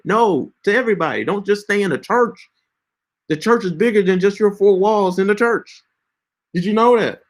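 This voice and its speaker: A man in his late twenties giving casual, preachy social media advice with lots of fillers and restarts. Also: sits high. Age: 20s. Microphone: screen-share recording setup.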